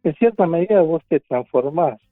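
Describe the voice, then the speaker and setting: A man's voice: on the high side, expressive, moderate pace, dry recording, faint music behind. A radio operator, a man, reflecting on his work conversationally. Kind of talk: reflective recollection. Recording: dull dry lo-fi sound.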